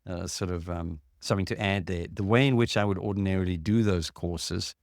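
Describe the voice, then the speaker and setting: Deep-voiced man explaining calmly. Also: no room sound, clean studio sound. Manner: measured, explanatory, with frequent fillers. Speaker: podcast host and clinician. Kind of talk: casual conversational explanation.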